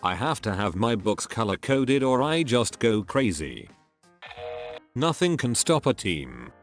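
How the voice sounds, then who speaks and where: A man narrating a series of online posts in a steady read-aloud style. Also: medium pitch, measured pace, faint music behind. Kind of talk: scripted narration.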